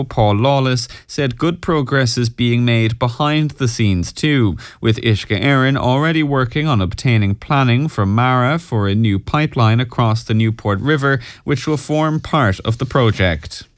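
A man reads the morning news on local radio in a measured, formal voice. His pitch sits right in the middle for a man. The studio sound is close and dry. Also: no room sound. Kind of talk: news bulletin reading and phone interview. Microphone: studio microphone.

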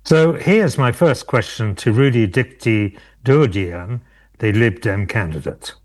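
A man hosting a local radio politics show, presenting candidates in a measured way with occasional hesitations. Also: mid-range voice, lively intonation.

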